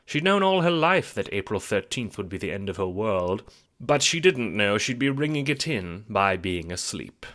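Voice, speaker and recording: Dramatic, lively low-voiced male storyteller. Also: moderate pace. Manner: dramatic, wry, rhetorical. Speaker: narrator and producer of an audio drama. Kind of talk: theatrical scripted storytelling narration. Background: music.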